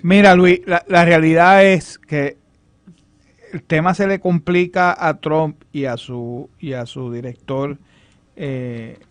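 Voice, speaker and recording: A man with a Puerto Rican accent giving unscripted political commentary as a panelist, halting and measured as he argues. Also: fairly high pitch, fairly even intonation, dry recording. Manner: halting, hesitant, measured argument.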